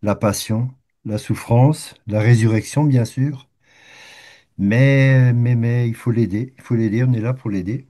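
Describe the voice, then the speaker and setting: A man's voice: mid-range voice, steady tone, a little slow, dark tone. A man with a head cold, reading a passage and then reflecting on it hesitantly, with restarts, in a prayer group. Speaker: participant reader.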